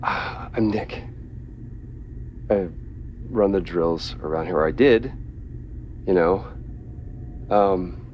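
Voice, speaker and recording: An actor playing a nervous, volatile drill worker in a video game, stammering and cajoling in an unhinged way. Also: male voice, low-pitched, takes it slowly, pauses often, dull audio, a little hiss or hum. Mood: nervous, awkward. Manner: stammering, cajoling, swinging into outbursts. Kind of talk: video game dramatic acting.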